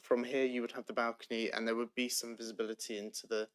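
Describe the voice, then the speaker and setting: A man, a planning officer giving measured, procedural answers at a council meeting with frequent ums. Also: medium pitch, level tone, a bit bright, clear, resonant tone.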